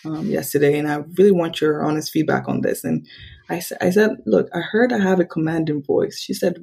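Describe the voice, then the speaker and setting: Husky-voiced woman telling lively stories. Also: brisk. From Nigeria. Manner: animated, acts out quoted dialogue with big pitch jumps. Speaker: podcast guest. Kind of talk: casual interview storytelling.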